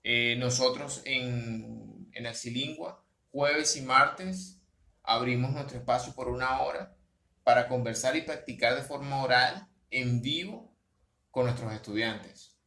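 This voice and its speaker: A man's voice: mid-range voice, unhurried, gravelly, sharp tone, roomy sound. A man from Latin America co-hosting a casual podcast about learning English, often repeating words as he thinks. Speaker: podcast co-host, English teacher.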